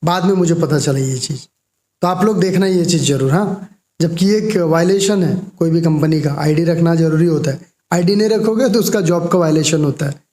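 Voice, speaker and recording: A man's voice: on the high side, normal speed, mellow, reverberant. A young Indian man giving informal, emphatic explanatory commentary to viewers as a vlogger. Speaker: vlogger commenting on a call recording.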